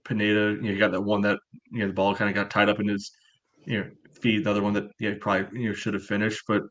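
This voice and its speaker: A man co-hosting a podcast, chatting casually about sport with a hedging, measured way of making points. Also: on the low side, completely flat delivery, a bit bright. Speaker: podcast co-host.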